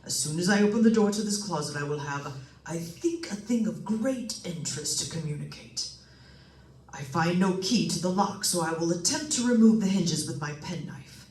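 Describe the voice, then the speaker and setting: A fairly high-voiced man acting as a solemn doctor investigating a haunting, who reports formally and precisely as in a vintage radio thriller. The recording is roomy and distant. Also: lively intonation, normal speed, a little mellow. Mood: solemn, intent. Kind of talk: old-time radio suspense drama acting. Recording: echoey room, distant mic.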